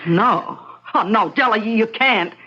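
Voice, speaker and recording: A woman's voice: on the high side, a little slow, bright, forceful tone. An actress playing a cautious, fretful woman who is a racetrack friend, in an old-time radio drama style. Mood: alarmed, pleading. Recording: dull old radio recording.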